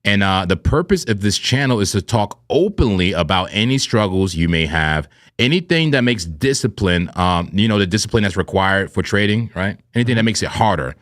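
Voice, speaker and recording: Lively low-voiced man with a radio voice. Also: brisk, dry recording, clean studio sound. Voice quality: smooth low radio-style voice, clears throat often. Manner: animated, playful, laughs often. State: throat irritated from vaping. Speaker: co-host of a crypto trading stream. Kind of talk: casual live-stream banter.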